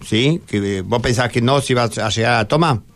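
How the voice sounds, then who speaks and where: A man's voice: medium pitch, a little fast. A man with a Buenos Aires Argentine accent hosting a conversational radio interview, repeating answers back as he questions. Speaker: radio host. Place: radio studio.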